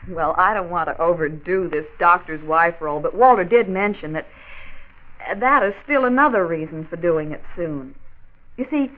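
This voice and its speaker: An actress playing a calm, sensible doctor's wife in a 1940s radio drama, explaining things in a measured, reassuring manner. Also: female voice, fairly low pitch, phone-quality sound, natural voice. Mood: soothing. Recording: band-limited old radio recording.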